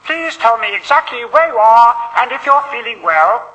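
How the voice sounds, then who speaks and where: An excitable little storybook pixie, squeaking very high with lively swoops. The small, eager voice is exclamatory and kindly, ringing in a long reverb. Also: male voice, moderate pace, highs cut off, full character voice. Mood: urgent, calling. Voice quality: small, piping voice. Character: tiny chubby excitable male pixie, helpful and delighted. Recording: long reverb, faint noise.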